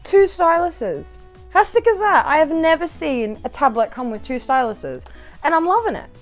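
A young woman with a fairly high voice chats casually to the camera in her living room. She is playful and bubbly, tossing in exclamations and sing-song asides as she reviews a product. Faint music plays behind her. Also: very animated, normal speed. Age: young adult. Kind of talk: casual unboxing chat to camera.

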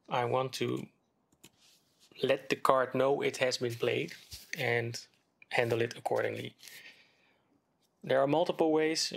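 A man giving a screen-recorded coding tutorial, explaining step by step in a relaxed way while he types. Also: medium pitch, vocal fry. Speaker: game development tutor. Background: keyboard typing and mouse clicks.

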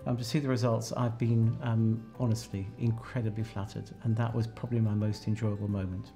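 A man's voice: lowish voice, little pitch movement, fairly quick, dark tone, faint music behind. A man in his sixties giving a reflective interview answer in a small office. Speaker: researcher interviewed about a project.

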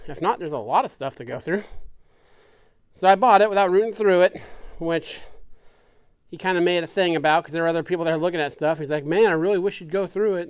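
A man's voice: high voice, clear, resonant tone, no room sound, clean studio sound. Chatty man unboxing shop tools. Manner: relaxed, chatty, naming tools as he unpacks. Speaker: machine shop owner showing a tool haul. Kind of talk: casual chat to camera. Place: workshop bench, indoors.

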